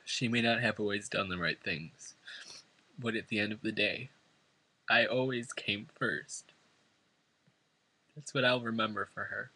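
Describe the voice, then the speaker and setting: A man's voice: fairly low pitch, unhurried, pauses often, bright, forceful tone, no room sound, poor audio. A man from New York State reading a prepared eulogy at a funeral, earnest and emotional. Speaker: son giving a eulogy. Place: funeral service before family and friends.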